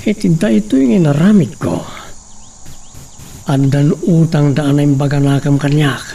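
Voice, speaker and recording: A man's voice: on the high side, normal speed, creaky, mellow. A man playing a secretive plotter in an audio drama, musing to himself in monologue. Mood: brooding. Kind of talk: Ilocano radio-style drama monologue. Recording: distant, faint insect noise.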